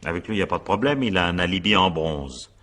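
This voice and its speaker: An actor playing an examining magistrate in a French radio crime drama, probing and insistent, with a husky voice and pointed tag questions. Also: male voice, low-pitched, expressive, fast, sharp tone. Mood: probing, measured.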